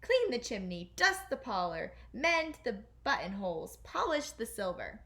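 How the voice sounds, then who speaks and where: A woman acts out a wicked storybook stepmother, somewhat high in pitch. She is sly and stern, handing out orders in a cutting, commanding way. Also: very animated, unhurried, a bit bright, full character voice. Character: cruel, sly, commanding middle-aged stepmother.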